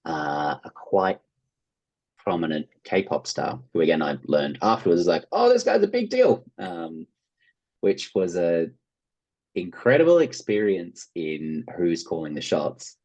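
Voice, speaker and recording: Very deep, husky Australian man. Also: big pitch swings, unhurried, darkish tone, muffled recording. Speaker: interview guest, actor and writer. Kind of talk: casual interview chat, anecdotes.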